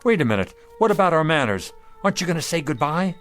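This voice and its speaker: An actor playing a kindly, elderly street pitchman in a radio drama, chatty and courteous, with a salesman's folksy patter. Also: big pitch swings, fast, faint music behind. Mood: bewildered.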